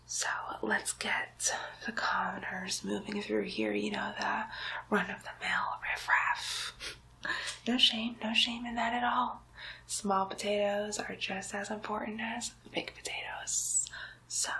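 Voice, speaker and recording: A woman's voice: medium pitch, steady tone, slow, creaky, bright, forceful tone, heavily acted, rough recording. A woman playing a chatty, cheeky demon nurse in a whispered ASMR roleplay, coaxing and playfully condescending. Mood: soothing, coaxing. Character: chatty, cheeky female demon nurse greeting souls in hell. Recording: faint background noise, close whispered recording.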